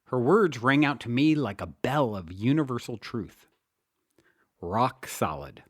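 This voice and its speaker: A man with a lively, widely swinging delivery and a medium pitch presents spiritual self-help as host and author. His phrasing is earnest and emphatic, and the recording is close and dry. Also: a little slow, no echo. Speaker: podcast host and author reading own book. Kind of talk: spiritual self-help audiobook narration with casual podcast introduction.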